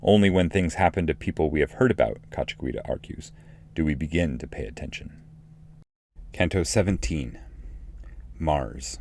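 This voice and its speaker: A man narrating epic poetry as an audiobook reader, in a measured and solemn manner. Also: very deep voice, expressive, darkish tone, a little hiss or hum.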